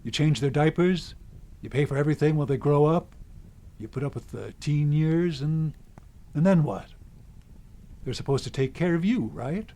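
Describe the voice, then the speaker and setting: A man's voice: a little high, moderate pace, pauses often, warm tone, close to an everyday voice. An actor playing a cranky, world-weary old man in the woods, with a folksy, grumbling manner, in a radio drama. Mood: bitter, grumbling.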